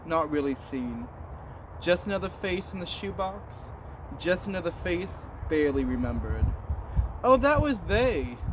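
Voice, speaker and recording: A young adult man talking casually to an audience in a rambling, self-deprecating way. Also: high voice. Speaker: vlogger. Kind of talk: casual chat to camera. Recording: clear background noise.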